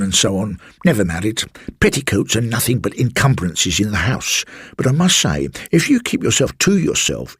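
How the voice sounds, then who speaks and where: Man acting middle-aged former manservant, storybook reading, raspy voice, lifted above the narrator's low pitch, garrulous, insistent, deferential, uneasy. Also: a bit bright, dry recording, studio quality, heavily acted. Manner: rapid, insistent, respectful, confiding. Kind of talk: dramatised literary reading.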